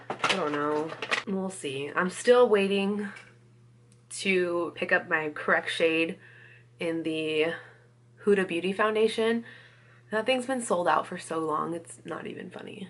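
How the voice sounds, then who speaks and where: A woman's voice: fairly low pitch, a bit bright. A woman chatting casually while doing her makeup, still a little congested from a cold, with lots of "like" and "you guys". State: recovering from a cold, a bit congested. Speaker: beauty vlogger. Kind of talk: casual get-ready-with-me chat.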